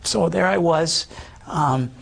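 A man around fifty from Canada with a hoarse, damaged voice, giving a halting, earnest personal testimony as an interview guest. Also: fairly high pitch. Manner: earnest, halting, many fillers.

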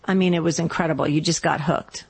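A woman's voice: low voice, fairly even intonation, fast, vocal fry, husky. Slightly scratchy-voiced woman hosting radio. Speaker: radio call-in show host. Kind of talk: chatty, casual radio hosting.

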